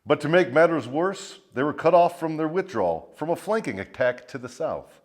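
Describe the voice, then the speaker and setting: Male military storyteller, highly varied intonation, theatrical, swaggering, profane comic narration. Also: animated, moderate pace. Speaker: host of a military storytelling show, a first sergeant. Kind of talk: dramatic scripted storytelling with profane humour.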